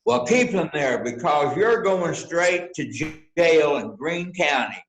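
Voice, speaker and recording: A man playing a folksy, slow-witted small-town lawman with a halting delivery in an amateur mystery play. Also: a little high, lively intonation, slight background noise. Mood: stern. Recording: echoey room sound.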